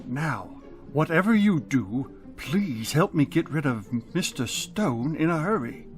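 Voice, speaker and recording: Man playing a blustering, grouchy school principal, animated delivery. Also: fairly high pitch, a little slow, over music. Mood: flustered, urgent. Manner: blustering, exasperated. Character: grouchy, flustered middle-aged male high school principal. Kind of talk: 1950s radio comedy acting.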